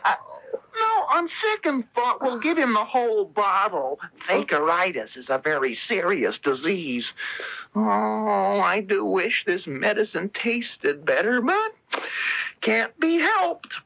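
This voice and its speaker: A woman's voice: medium pitch, big pitch swings, slow pace, gravelly, fairly bright tone, full character voice, poor audio. A woman playing a wry, scolding talking cricket in a 1970s radio play, speaking with mock solemnity. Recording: 1970s radio broadcast recording.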